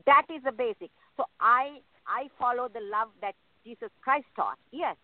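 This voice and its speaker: A woman from Sri Lanka speaking as a guest in a radio debate, earnest and halting, restarting her sentences. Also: a little high, animated, no room sound. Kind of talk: phone-in panel debate. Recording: thin phone line, dry.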